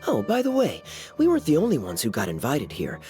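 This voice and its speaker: An actor playing a suave, teasing young knight in an anime-style video game, smooth and playful in his drawling delivery. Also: medium pitch, huge pitch swings, fast, dark tone, faint music behind. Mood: wry, casual.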